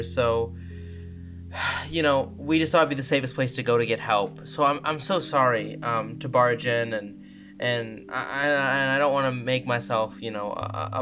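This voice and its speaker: A man's voice: mid-range voice, lightly acted. A man playing a nervous, apologetic kid protecting a younger sibling, hesitant and rambling, in a tabletop game. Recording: guitar music under the voice.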